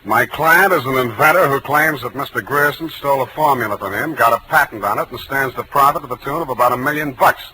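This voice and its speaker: A man's voice: mid-range voice, fast talker, few pauses, background noise, lightly acted. An American man playing a wry, hard-boiled private detective in 1940s radio style, with a dry, wisecracking delivery. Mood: probing, skeptical. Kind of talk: 1940s radio acting with first-person narration. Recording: old 1940s radio recording, music behind.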